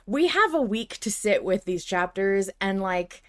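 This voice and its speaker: Excited woman hosting a fan podcast. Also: mid-range voice, a little slow, no echo, clean studio sound. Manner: gushing, sweary. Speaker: podcast host.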